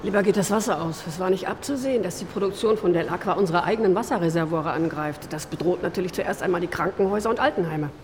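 An actress playing an embattled town mayor in a modern radio drama, firm and pressing. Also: female voice, on the low side, quick pace, few pauses, in a large hall, lightly acted. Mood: firm, concerned. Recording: clear background noise.

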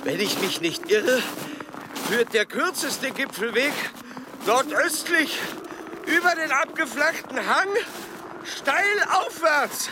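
Eager high-voiced explorer in noisy ambience. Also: male voice, expressive, takes it slowly, full character voice. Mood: brisk, instructive. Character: eager, precise male scientific explorer. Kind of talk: German radio play acting.

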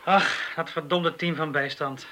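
An actor playing a wisecracking, grumbling police detective in a radio drama, with an ironic, bantering delivery. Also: male voice, a little high, fairly even intonation, measured pace, pushed and bright. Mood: weary grumbling. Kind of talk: Dutch radio drama acting. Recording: radio play with faint background noise.

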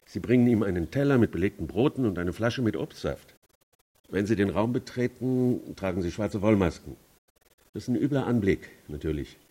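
Measured attorney type for radio drama: very low, hoarse-edged, deliberate and composed. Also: male voice, lively intonation, dark tone. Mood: calmly explaining. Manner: deliberate, explanatory. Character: composed male family lawyer, measured and matter-of-fact. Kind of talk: radio play acting.

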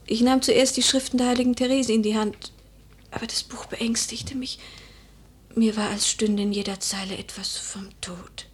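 An actress playing a proud, grieving young widow of noble birth in a literary radio drama, with a breathy, solemn delivery that often drops toward a whisper. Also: female voice, on the high side, level tone, moderate pace, slight background noise, natural voice. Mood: haunted, hushed.

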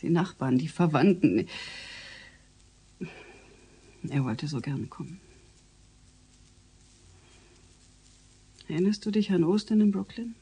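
An actress playing a middle-aged female politician and guilt-ridden mother in a radio drama, breathy, with halting, emotional delivery. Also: lowish voice, warm tone. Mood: remorseful. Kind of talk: naturalistic German radio drama acting.

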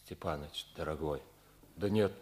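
An actor playing a grave Soviet lieutenant colonel who gives measured orders in a 1950s radio play. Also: male voice, very deep voice, a little mellow. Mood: subdued, grave. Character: grave Soviet army lieutenant colonel commanding a besieged fortress. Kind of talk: 1950s Soviet radio stage drama acting.

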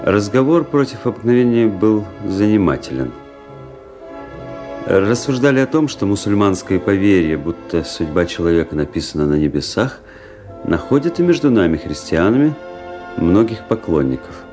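A man narrating a radio play as an officer recalling events, in a grave, measured, brooding manner. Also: lowish voice, sing-song, over music. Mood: reflective. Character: grave officer recounting a fateful memory.

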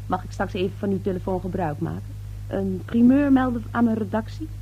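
An actress playing a pushy, sly young newspaper reporter who probes and insinuates in a radio play. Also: female voice, mid-range voice, fairly quick, dull audio, a little hiss or hum, lightly acted. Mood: chatty, brazen. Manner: insinuating, probing, brazen.

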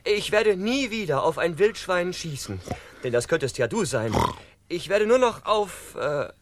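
An actor playing a naive, good-hearted hunter in a theatrical, exclamatory manner for a comic radio play. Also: male voice, high voice, expressive, unhurried, noisy background. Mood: pleading, contrite. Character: male hunter, boastful yet contrite, naive, good-hearted.

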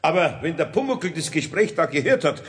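An actor playing a gruff older craftsman in a children's radio play. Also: on the high side, very animated, brisk. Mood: gruff, irritated. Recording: reverberant hall sound.

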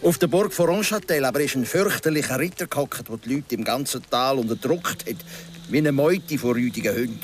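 A man's voice: mid-range voice, a little hiss or hum, natural voice. An actor as a Swiss German storytelling man. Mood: grave, dramatic. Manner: deliberate, dramatic storytelling with rhetorical questions. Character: local Swiss man, gorge guide, philosophising storyteller. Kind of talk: radio drama, telling a folk legend.

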